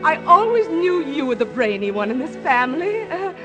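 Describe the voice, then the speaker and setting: Very high-pitched, flustered mother. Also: very animated, moderate pace. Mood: flustered, amazed. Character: flustered, excitable middle-aged mother. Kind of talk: 1950s radio musical comedy acting. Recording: dull vintage radio recording, music behind.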